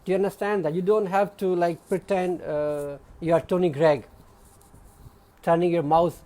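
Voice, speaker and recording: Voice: mid-range voice, fairly even intonation, moderate pace, warm tone. An exam prep teacher with a Pakistani English accent, giving a live online class in an explanatory way and often checking in with the students. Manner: explanatory, checks in with frequent "okay?"; addresses students by name.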